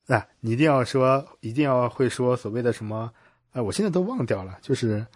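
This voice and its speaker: A man's voice: medium pitch, animated, moderate pace, no echo, clean studio sound. A man hosting a casual interview, asking wordy, informal questions and checking back as he goes. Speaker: podcast host, interviewer.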